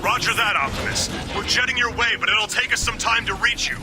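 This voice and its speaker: An actor playing an urgent, battle-ready robot soldier in a video game, calling out forcefully in military style. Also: male voice, high voice, fairly quick. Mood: businesslike, tense. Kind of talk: video game action acting. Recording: loud music behind.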